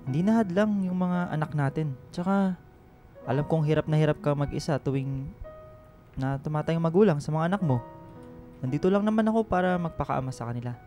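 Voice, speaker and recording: A Filipino man playing a gentle, reassuring middle-aged suitor in a radio drama. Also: a little high, fairly quick, close to an everyday voice. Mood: earnest, reassuring. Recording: music behind the dialogue.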